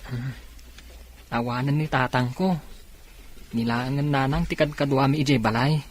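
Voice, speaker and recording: A man playing an encouraging young student in a radio drama, sympathetic and earnest with a husky voice. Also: mid-range voice, steady tone, moderate pace, natural voice. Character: kind, encouraging young working male student.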